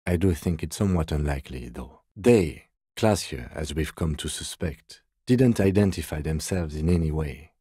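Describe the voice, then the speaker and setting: Dry, restrained middle-aged detective for a video game; low voice, deadpan, close dry sound. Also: male voice, husky, dry recording, heavily acted. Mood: matter-of-fact. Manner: measured, restrained, formal, deadpan. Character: composed, dry, by-the-book middle-aged male police detective. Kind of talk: scripted game dialogue.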